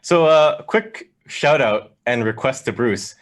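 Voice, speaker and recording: A man's voice: medium pitch, expressive, slow. A man co-hosting a casual live talk show who jokes and teases the others. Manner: joking, teasing. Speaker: podcast co-host.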